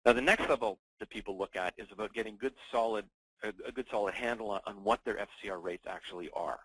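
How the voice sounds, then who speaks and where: A man hosting a business webinar, explaining terms in a measured way before handing over to a guest. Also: on the low side, fairly bright tone, breathy edge, dry recording, low-quality recording. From North America. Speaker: webinar host.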